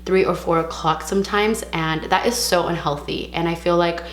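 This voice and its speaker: A young woman from California vlogging casually to camera, frank and chatty, mixing in Spanish words, her voice a little raspy from a sore throat. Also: fairly low pitch, steady tone, normal speed, echoey room, slight background noise. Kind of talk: casual vlog talk to camera.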